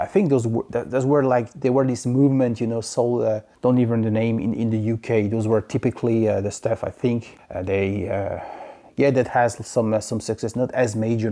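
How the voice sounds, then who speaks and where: A man from France with a French accent, chatting casually about his record purchases in a halting way, full of fillers and restarts. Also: fairly low pitch, warm tone. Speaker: vinyl collector vlogger. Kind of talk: casual record haul review. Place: home in Quebec.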